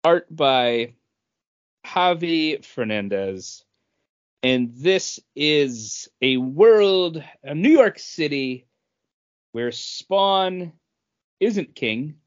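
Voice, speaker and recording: A man's voice: a little high, expressive, very slow. A man co-hosting a podcast, casually discussing comic books. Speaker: podcast co-host. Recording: dull, lo-fi podcast sound.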